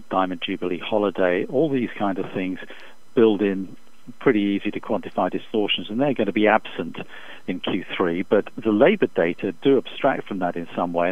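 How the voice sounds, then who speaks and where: Man, low pitch, economist guest, formal and measured, hesitant analytical delivery, muffled dry phone recording. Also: dry recording. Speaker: economist being interviewed as an expert guest. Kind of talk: unscripted analytical interview answers. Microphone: telephone. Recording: dull, muffled phone interview line.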